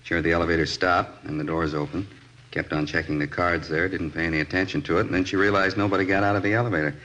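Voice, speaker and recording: A brisk American hospital doctor with a low voice. He reports in clipped, matter-of-fact phrases in the style of 1950s radio drama. The old recording sounds slightly muffled. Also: fairly even intonation, fairly bright tone.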